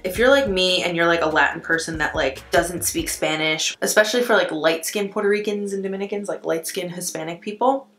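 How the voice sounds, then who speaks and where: A woman's voice: fairly low pitch, fairly quick, hardly any pauses, fairly bright tone. A woman in her twenties chatting casually while doing her makeup at home, saying "like" constantly and swearing freely. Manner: chatty, profane, says "like" constantly. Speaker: beauty vlogger. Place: at home indoors. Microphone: camera mic. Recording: echoey room, faint background music.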